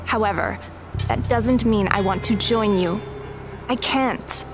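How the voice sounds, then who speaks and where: A woman's voice: mid-range voice, takes it slowly, lightly acted. A woman playing a blunt, guarded teenage girl in an audio drama, firm and frank. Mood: firm, annoyed. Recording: music under the voice.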